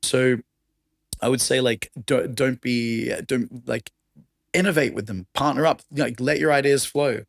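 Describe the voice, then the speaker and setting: A man with an Australian accent and a raspy voice, talking enthusiastically as a podcast guest. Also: mid-range voice, fairly even intonation, measured pace, no echo, clean studio sound. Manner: enthusiastic, conversational, laughs often. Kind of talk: casual chat.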